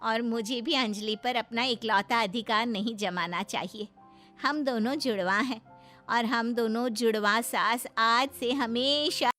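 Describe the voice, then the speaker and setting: A nagging, caring cartoon mother-in-law, played by a woman, middle-aged and possessive. Her voice is high and sharp, with soft music behind. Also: unhurried.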